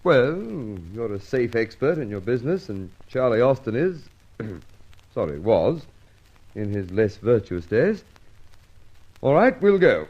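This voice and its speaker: An actor playing a gruff, sceptical police inspector in an old-time radio drama, blustering and grudgingly amused. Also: male voice, medium pitch, sing-song, unhurried, darkish tone. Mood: brisk, impatient. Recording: dull vintage radio recording.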